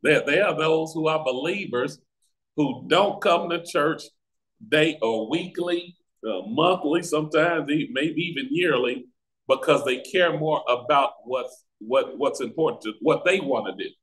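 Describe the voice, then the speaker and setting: Slow, deliberate American male pastor. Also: a little high, a bit bright, poor audio. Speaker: pastor leading a Bible study. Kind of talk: Bible teaching with scripture reading. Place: indoors, on a video call. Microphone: video call microphone.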